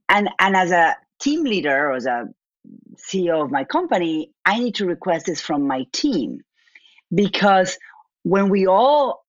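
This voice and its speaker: A woman with an Argentine accent talks through her work as a guest, often repeating and restarting words as she thinks. Her voice sits low. The sound is dry and a little muffled, like a remote call. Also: no echo. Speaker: business owner, guest. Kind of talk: interview answers.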